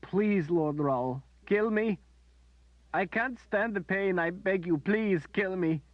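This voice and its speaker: A man's voice: sits high, lively intonation, slow, no room sound, pitched above the performer's own voice, heavily acted. A man voicing a sobbing, desperate woman warrior. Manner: sobbing, pleading. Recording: dull dry recording.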